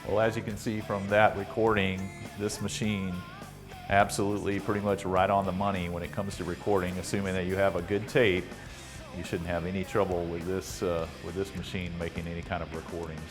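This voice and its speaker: Male repair-channel host, low-pitched, conversational and unhurried, demonstrating equipment over faint music. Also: little pitch movement. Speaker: host of electronics repair videos. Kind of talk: casual product demonstration talk.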